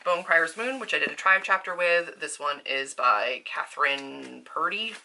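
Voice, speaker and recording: A woman's voice: sits low, animated, moderate pace, background noise. A woman vlogger chatting casually to her viewers in an informal, slangy, self-deprecating way. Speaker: book vlogger.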